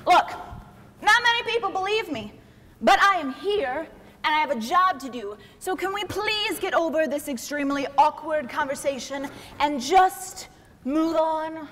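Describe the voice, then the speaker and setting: A woman's voice: sits high, lively intonation, measured pace, a bit bright. A woman playing an officious, exasperated idea come to life, quoting rules in an indignant, theatrical way in a comic stage play. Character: personified idea, officious, exasperated, rule-quoting female messenger. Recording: echoey stage hall, clear background noise.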